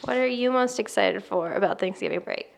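A woman's voice: mid-range voice, a little fast, gravelly, dry recording. A woman reporter narrating a scripted segment and asking students the same question. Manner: plain, read-from-script delivery.

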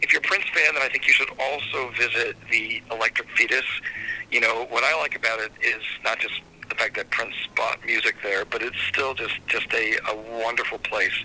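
Bright-voiced man, enthusiastic interviewee. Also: mid-range voice, steady tone, a little fast, breathy edge, poor audio. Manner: enthusiastic, restarts and fillers. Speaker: museum executive director. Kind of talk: interview answers.

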